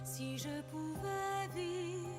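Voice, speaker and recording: A woman's voice: extremely high pitch, extremely slow, full character voice. A woman performing a tender, bittersweet young opera heroine, singing theatrically for a video game in an echoing stage sound. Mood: tender, longing. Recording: echoing stage sound under loud music.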